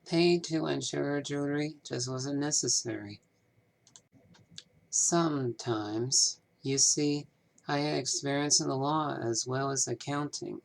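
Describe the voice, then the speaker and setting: A man voicing a polite, businesslike 1930s small-town banker from a mystery game, with measured, formal phrasing. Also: mid-range voice, steady tone, creaky, rough recording. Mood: regretful. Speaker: amateur voice actor. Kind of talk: scripted game character dialogue.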